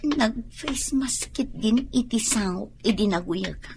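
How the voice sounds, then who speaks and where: A woman's voice: a little high, slow pace, gravelly, noisy background. An actress with an Ilocano accent playing a disdainful, grumbling young woman in a radio drama. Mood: annoyed, scornful.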